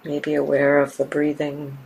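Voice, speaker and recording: Slow, even-toned woman guiding meditation. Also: low-pitched, vocal fry, rough recording. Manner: gentle, unhurried, with long pauses. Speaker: meditation teacher. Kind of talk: guided meditation. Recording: steady background hiss and room ambience.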